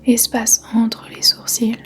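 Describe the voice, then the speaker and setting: A woman guiding a yoga nidra meditation in a soft, breathy, whispery voice, calmly and in measured short phrases with gentle pauses. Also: on the high side. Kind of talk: guided meditation, slow soothing instruction. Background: faint music.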